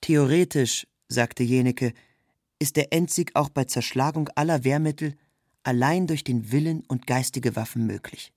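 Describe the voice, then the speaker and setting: A man's voice: medium pitch, moderate pace, a little mellow, dry recording. Dry, close-sounding male literary narrator. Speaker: narrator, reader of a literary text.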